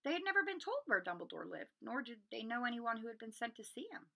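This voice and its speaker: A woman narrates a children's storybook aloud. Her voice is bright and fairly high, and it swings expressively through the sentences in a dramatic storytelling manner. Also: big pitch swings, fast talker, creaky.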